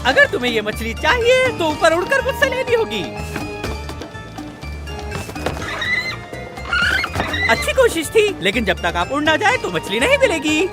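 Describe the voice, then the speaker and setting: A woman's voice: extremely high pitch, huge pitch swings, brisk, heavily acted. A woman voicing a clever, bossy young cartoon adventurer, speaking in an instructive, emphatic way. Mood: teasing, instructive. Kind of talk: Hindi cartoon dub acting. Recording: loud music under the voice.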